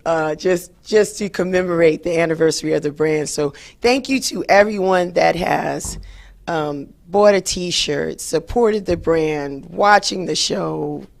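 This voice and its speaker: Raspy hoarse lady hosting her show, gravelly and chatty, lots of ums. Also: deep voice. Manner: upbeat, chatty, with many fillers. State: hoarse after a late night. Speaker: radio show host. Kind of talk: solo talk show monologue.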